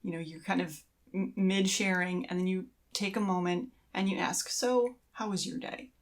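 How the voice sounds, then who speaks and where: A woman's voice: fairly low pitch, steady tone, moderate pace. A woman co-hosting a podcast in casual conversation, explaining things in a supportive way. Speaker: podcast co-host.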